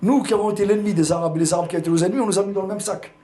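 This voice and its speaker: Older pied-noir man, halting storyteller. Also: sits high. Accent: pied-noir French. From Algeria. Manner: hesitant, repeats words, animated storytelling. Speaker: interviewee. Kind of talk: recounting personal memories.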